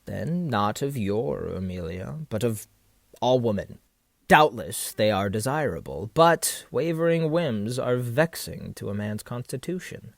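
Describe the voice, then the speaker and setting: A man's voice: medium pitch, animated, gravelly, no room sound. Man acting a vain, scheming captain, swooping theatrically. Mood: smooth, manipulative. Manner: theatrical, florid, pausing rhetorically. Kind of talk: stage-play style period audio drama acting.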